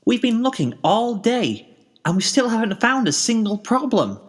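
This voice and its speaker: A man's voice: very high-pitched, big pitch swings, moderate pace, pitched above the performer's own voice, full character voice. A man voicing a cheerful, determined young female cartoon pony with a bubbly, chirpy, encouraging manner in a story reading. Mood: upbeat. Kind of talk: fan fiction character reading.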